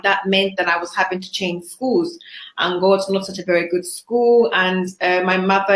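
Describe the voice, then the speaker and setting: A woman's voice: medium pitch, a bit bright, reverberant, low-quality recording. A woman from Zambia, a guest telling her life story in an earnest, reflective way, with frequent fillers. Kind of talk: personal testimony in an interview.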